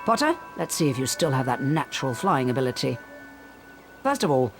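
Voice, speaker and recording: An actor playing a strict but fair flying instructor who gives formal, commanding instructions. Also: male voice, medium pitch, big pitch swings, fairly quick. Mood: brisk, stern. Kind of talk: video game voice acting. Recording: loud game music behind. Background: loud game music.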